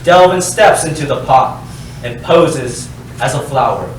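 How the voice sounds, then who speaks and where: A man reading stage directions as scripted narration, deliberate and dry in delivery. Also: medium pitch. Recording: very echoey hall, distant mic, faint noise.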